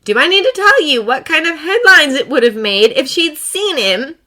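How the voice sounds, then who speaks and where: A woman reading a story voices a sharp, exasperated female assistant who scolds. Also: mid-range voice, normal speed, voice pushed up, close to an everyday voice.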